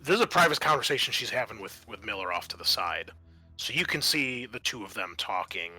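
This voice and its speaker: A man with a fairly low, very bright and forceful voice runs a tabletop game. He narrates off the cuff as game master, haltingly, thinking aloud. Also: very animated, breathy edge, faint music behind.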